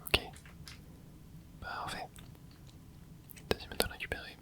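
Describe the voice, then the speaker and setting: A person whispering relaxed running commentary over a video game, with soft breathy tones, close to a microphone indoors. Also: a little slow. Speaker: gaming ASMR host.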